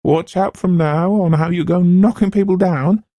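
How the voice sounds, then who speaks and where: A man's voice: high voice, moderate pace, warm tone, no echo, pitched above the performer's own voice, close to an everyday voice. A man voicing a chuckling, kindly little old lady from a novel, with folksy endearments and sing-song scolding. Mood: cheerful scolding.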